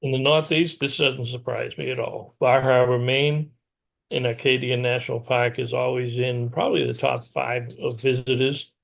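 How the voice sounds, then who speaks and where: A man's voice: medium pitch, fairly even intonation, normal speed, vocal fry, low-quality recording. A man from New England with an American accent, co-hosting a casual RV news show in an easy conversational style. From New England, USA.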